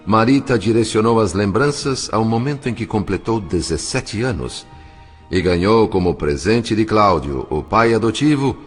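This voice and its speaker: A man with a Brazilian accent narrating a scripted dramatic novel with measured, literary, expressive phrasing. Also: on the low side, husky. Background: faint music.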